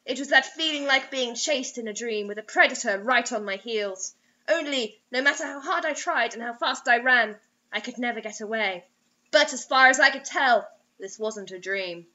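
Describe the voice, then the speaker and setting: High, bright young woman reading aloud theatrically. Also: moderate pace. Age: young adult. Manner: theatrical, sarcastic. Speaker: co-host reading a story aloud. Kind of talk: dramatic read-aloud with mocking commentary. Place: small room indoors. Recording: dull lo-fi webcam-style sound.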